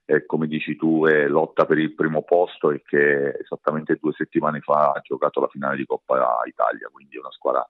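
A man giving measured, hesitant answers as a sports coach in a radio interview. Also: extremely low pitch, level tone, dry recording, phone-quality sound. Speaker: basketball coach being interviewed.

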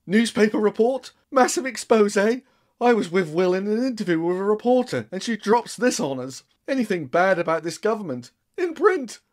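An actor playing a frantic young male political advisor who shouts and shifts blame in a radio comedy. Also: high voice, very animated, moderate pace.

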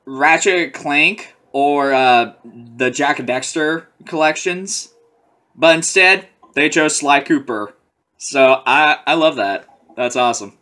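A young man rambles through a webcam update in a small room, slow and a little dazed. His voice is bright and sits fairly high, swinging up and down a lot as he giggles between fillers. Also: expressive. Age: young adult. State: lightheaded, a bit out of it. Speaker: vlogger. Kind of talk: casual webcam update chat. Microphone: webcam at close range, headset round neck.

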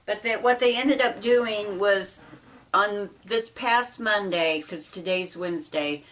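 A middle-aged woman with a Midwestern American accent, chatting casually while she folds laundry, talking haltingly with many ums and restarts. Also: mid-range voice, fairly bright tone. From United States. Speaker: vlogger. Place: small indoor laundry room. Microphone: camera set apart from her. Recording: voice a bit far from mic.

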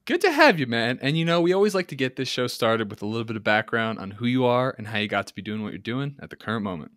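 A man in his thirties with a New Jersey accent, hosting a podcast interview in a friendly, chatty way at a studio boom mic. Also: sing-song, quick pace, a bit bright, no room sound. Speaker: podcast host. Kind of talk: casual interview. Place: dark studio room, indoors. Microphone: studio boom microphone.

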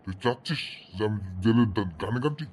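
A man's voice: fairly low pitch, expressive, fairly quick, a little mellow, a little hiss or hum, natural voice. A man voicing an indignant old grandpa who scolds reproachfully in a comic cartoon. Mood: scolding, suspicious. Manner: scandalised, reproachful.

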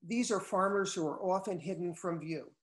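An older man, a cookbook co-author, reading a prepared talk in measured tones over a video call from home. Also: high voice. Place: wood-paneled room at home. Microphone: laptop video call. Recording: echoey room on a video call.

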